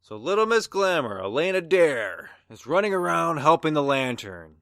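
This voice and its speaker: Animated, needling wise guy played by a man. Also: fairly high pitch, moderate pace, gravelly, no room sound, studio quality, heavily acted. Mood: sarcastic, accusing. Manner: sarcastic, excitable. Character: mouthy, needling working-class busybody in pulp adventure. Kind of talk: pulp radio drama acting.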